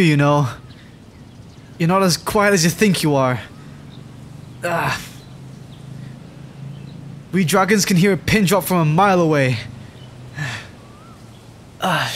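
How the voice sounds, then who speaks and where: A man's voice: high-pitched, a bit bright, slight background noise. A man voicing a timid, adorkable young dragon in a roleplay audio drama, stammering, hesitant and rambling. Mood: frightened bluster.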